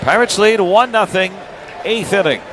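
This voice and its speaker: A man with an American accent calling live baseball play-by-play on the radio in clipped phrases with pauses between pitches. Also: lively intonation, a crowd in the background, noisy background.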